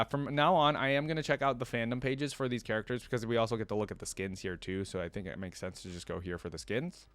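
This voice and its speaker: A man's voice: mid-range voice, no echo. A man hosting a reaction video in a casual, curious way, thinking aloud as he reads and comments.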